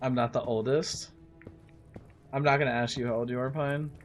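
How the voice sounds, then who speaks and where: A man in his late thirties talks in a mid-pitched voice as he streams a game. He chats in a friendly, off-the-cuff way with viewers and often thinks out loud. Faint music plays behind him. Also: steady tone, normal speed. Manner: friendly, chatty, thinks aloud. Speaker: live streamer playing a game. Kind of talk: casual livestream chat with viewers while playing.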